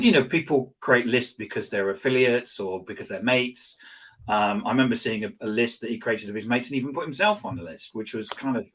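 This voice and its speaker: Husky guy rambling thru stories on an interview, lots of um and restarts, gets animated. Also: medium pitch. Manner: rambling with frequent restarts and fillers; animated, laughing while telling anecdotes. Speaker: guest, social selling expert. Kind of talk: conversational interview storytelling.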